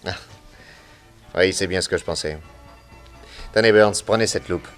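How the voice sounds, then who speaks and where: An actor plays a private detective in a radio crime drama. He has a fairly low voice and a composed, authoritative way of putting questions. Light music sits faintly underneath. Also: normal speed, lightly acted. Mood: methodical. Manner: methodical, questioning, reassuring authority. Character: Nick Carter, calm authoritative male private detective.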